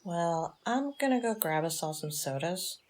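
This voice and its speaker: A woman playing a sensible, protective teenage girl in an audio drama, speaking in a measured, matter-of-fact way. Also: on the low side, animated, darkish tone.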